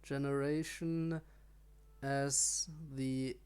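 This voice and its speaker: A man's voice: medium pitch, level tone, extremely slow, a little mellow, clean tone. A man streaming a video game, giving casual commentary and muttered asides. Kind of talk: casual live gaming commentary.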